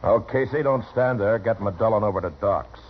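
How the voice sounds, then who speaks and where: An actor playing a stern, steady frontier marshal, terse and authoritative, in an old radio western. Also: male voice, lowish voice, a little fast, breathy edge, soft background music. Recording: muffled vintage radio recording.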